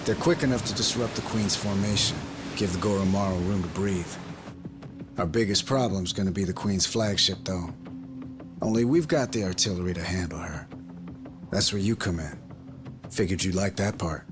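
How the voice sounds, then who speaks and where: An actor playing a steady, pragmatic leader in a video game, earnest and firm, in clipped phrases. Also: male voice, low voice, moderate pace, music in the background, lightly acted. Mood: steady, planning. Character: steady, pragmatic male leader briefing his allies. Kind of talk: dramatic video game cutscene acting.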